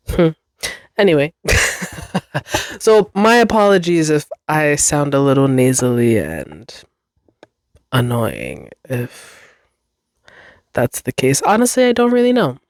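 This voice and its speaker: A podcast host recovering from a cold, sounding a bit nasal and congested, rambling chattily in a basement with frequent laughs. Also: male voice, a little high, very animated, slow, long pauses, dry recording, clean studio sound. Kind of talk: casual solo podcast chat. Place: basement room.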